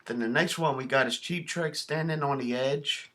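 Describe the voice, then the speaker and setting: A man's voice: on the high side, normal speed, sharp tone. A man hosting a casual show-and-tell vlog in a folksy, plain-spoken, enthusiastic way. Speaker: video host showing a cassette collection.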